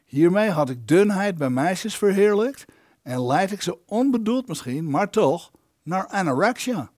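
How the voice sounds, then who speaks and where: An actor playing the indignant ghost of an elderly film maker in an audio drama, wordy, aggrieved and theatrical. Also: male voice, high-pitched, lively intonation, a little slow, a little mellow, no room sound, studio quality.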